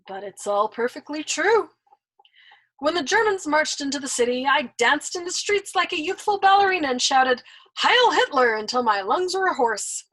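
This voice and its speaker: A woman voicing a shameless, worldly old man over a hundred, teasing and smug, in a breathy voice, as she reads a storybook aloud. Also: high-pitched, a bit bright, voice pushed up, low-quality recording.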